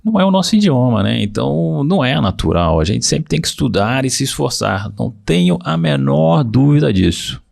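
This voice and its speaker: A man hosting a career podcast, talking in a measured, reflective way and summing up his guest's points. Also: mid-range voice, big pitch swings, gravelly, darkish tone. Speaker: podcast host.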